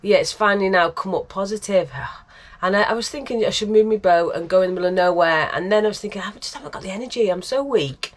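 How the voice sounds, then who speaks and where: A woman's voice: medium pitch, quick pace. A woman vlogging about her life on a narrowboat, chatty and self-mocking, laughing often and sounding bunged up with a cold. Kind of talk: casual vlog chat. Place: aboard and around a narrowboat on a canal.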